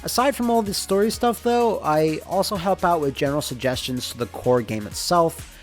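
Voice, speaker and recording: A man's voice: on the high side, moderate pace. Casual man narrating over music. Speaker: game developer and narrator. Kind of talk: informal scripted narration of a behind-the-scenes explainer. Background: music under the voice.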